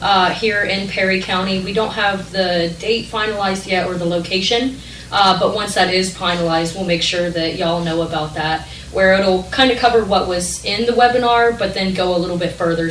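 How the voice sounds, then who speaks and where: A woman's voice: on the low side, slight background noise. Chatty woman presenting in echoey hall. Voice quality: loud voice. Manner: chatty, many ums and likes. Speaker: regional development planner. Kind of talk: public meeting presentation. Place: council meeting room. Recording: echoey meeting hall, distant mic.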